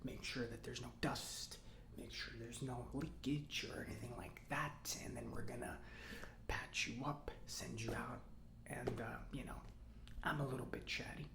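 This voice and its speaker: Casting: man as nerdy, friendly government robot mechanic for ASMR roleplay; soft near-whisper, rambling, roomy echo. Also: mid-range voice, steady tone, normal speed, creaky, natural voice. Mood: geeky, easygoing. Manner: rambling, hesitant, casual. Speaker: repair shop mechanic character.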